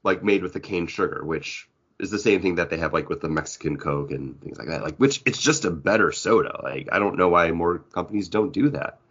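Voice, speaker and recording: Deep, husky, animated man chatting. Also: brisk, muffled recording. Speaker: podcast co-host. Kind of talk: casual chat.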